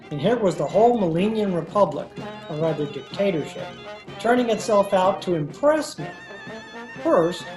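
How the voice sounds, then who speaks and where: A woman's voice: on the low side, natural voice. A woman acting as a wry male hustler in an old-time style radio drama, sardonic, recorded with echo over music. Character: wry, wisecracking male hustler telling his own misadventures. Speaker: lead actor and narrator. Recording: echoey video-call recording.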